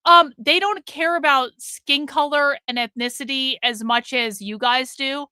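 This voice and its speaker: Bright, high woman in her 40s, blunt profane streamer, dry close mic. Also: unhurried, dry recording. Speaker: livestream host. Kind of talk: livestream commentary reading super chats.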